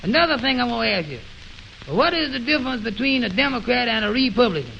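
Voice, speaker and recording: High, creaky-voiced naive fellow in old radio comedy. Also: male voice, animated, sharp tone, exaggerated voice. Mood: puzzled. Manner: puzzled, halting, folksy ungrammatical talk. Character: naive, slow-witted, questioning man, Amos. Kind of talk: 1920s radio comedy acting. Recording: dull, hissy old radio recording.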